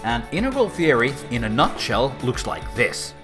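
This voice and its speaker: A man with a Finnish accent, explaining a theory casually to camera in a playful, animated way. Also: moderate pace, music in the background. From Finland. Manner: animated, swooping pitch, playful asides. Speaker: host and philosopher.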